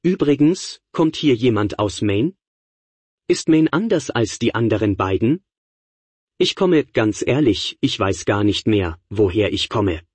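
A man's voice: lowish voice, expressive, a little slow, a little mellow, slightly rough, no room sound. A middle-aged man lecturing to college students in a conversational way, firing rhetorical questions at the class. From Niagara Falls area, New York, USA. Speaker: professor.

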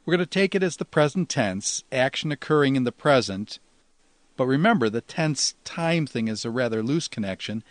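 A man's voice: mid-range voice, expressive, moderate pace. A man giving an instructional grammar lecture as a teacher, explaining step by step in a didactic, lightly folksy way. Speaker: teacher of New Testament Greek.

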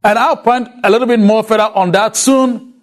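A man's voice: high voice, fairly even intonation, normal speed. A man from Ghana with a Ghanaian accent, for whom English is not his first language, teaching a lecture on a Bible letter and putting rhetorical questions to his listeners.

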